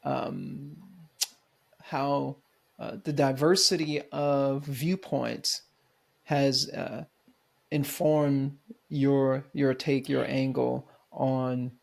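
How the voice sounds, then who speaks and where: A man hosting an interview podcast, speaking slowly and haltingly, affirming his guest. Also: medium pitch, steady tone, vocal fry, darkish tone. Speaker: podcast host. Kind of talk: conversational interview.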